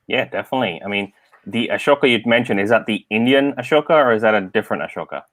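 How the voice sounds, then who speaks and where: A man's voice: on the low side, fast talker, a bit bright, no room sound. Male host, dry close sound. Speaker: show host. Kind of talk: live interview hosting.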